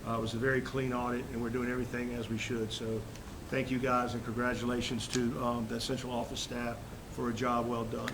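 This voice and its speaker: A man with a head cold giving a formal superintendent's report at a school board meeting, halting with fillers and restarts. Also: monotone. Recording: noisy room recording with rumble.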